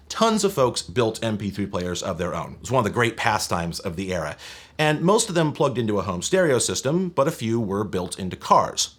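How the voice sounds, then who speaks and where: A man's voice: on the low side, fairly bright tone. A man in his thirties hosting a tech history video, reading scripted narration in a conversational way with wry, casual asides. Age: 30s. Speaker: host of a tech history show.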